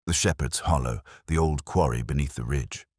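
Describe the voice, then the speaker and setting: Man playing very deep, coolly precise detective. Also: breathy edge, dry recording, clean studio sound. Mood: coolly deductive. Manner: measured, formal, quietly authoritative. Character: calm, cerebral Victorian male detective, coolly precise.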